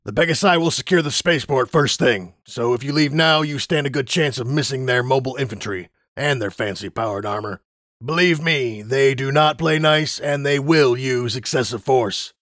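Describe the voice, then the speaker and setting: A man's voice: medium pitch, moderate pace, fairly bright tone, no echo, clean studio sound, close to an everyday voice. A man voicing a nervous, self-serving male griffin pilot in a pony storybook. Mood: gruff.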